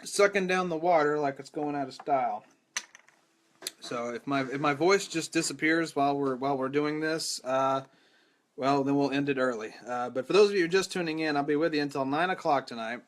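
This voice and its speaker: A young man hosting a live podcast stream, his voice hoarse and rattly, chatting casually and rambling with lots of restarts and self-deprecating asides. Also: fairly high pitch, moderate pace, slight background noise. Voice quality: rattly, strained voice. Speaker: podcast host. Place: home room with mic. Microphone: studio microphone.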